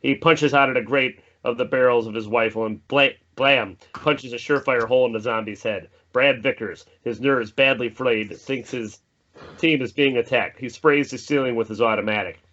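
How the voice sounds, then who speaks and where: Man narrating a horror script, medium pitch. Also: moderate pace, a bit bright. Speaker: narrator reading stage directions. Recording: slightly dull mic, faint noise.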